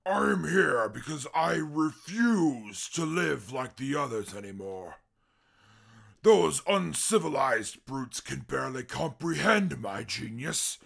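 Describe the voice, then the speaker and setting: A man playing an educated, short-tempered super mutant in an audio drama, with formal speech and a gravelly, husky voice. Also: medium pitch, heavily acted. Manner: formal, deliberate speech flaring into shouted outbursts, then apologizing. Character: educated super mutant brute, proud, short-tempered, self-styled genius. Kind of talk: post-apocalyptic audio drama acting.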